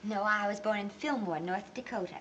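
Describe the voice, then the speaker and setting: A woman's voice: medium pitch, fairly quick, a bit bright, lightly acted. A woman playing a shy, earnest young aspiring actress in an old film, speaking hesitantly. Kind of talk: 1930s film acting. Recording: faintly hissy old film soundtrack.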